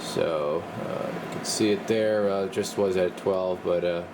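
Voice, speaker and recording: A man's voice: low voice, steady tone, unhurried, noisy background. A man giving a casual hands-on demonstration as a radio technician, muttering informally as he works in a room. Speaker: radio repair technician demonstrating his work. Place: indoor radio workbench.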